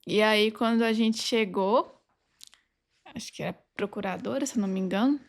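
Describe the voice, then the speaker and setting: Woman, Brazilian accent, creaky voice, medium pitch, conversational podcast guest, halting phrasing. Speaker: legal adviser, podcast guest.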